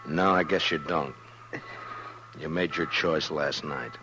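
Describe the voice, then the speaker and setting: A man's voice: extremely low pitch, slow, loud music behind the voice. An actor playing a stern, weary frontier lawman, terse and authoritative, in a 1950s radio western. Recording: noisy vintage 1950s radio recording.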